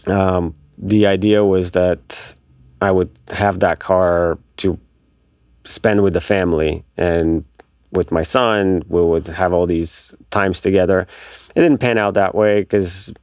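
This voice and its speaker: Low-voiced man telling stories, close and dry. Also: steady tone, takes it slowly, darkish tone, smooth, dry recording. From Bulgaria. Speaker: podcast guest, car enthusiast. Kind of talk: casual interview storytelling.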